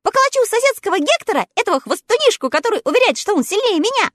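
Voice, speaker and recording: Small boastful storybook dog, played by a woman, high, lively, dry close sound. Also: no room sound, exaggerated voice. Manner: eager, cocky, fast.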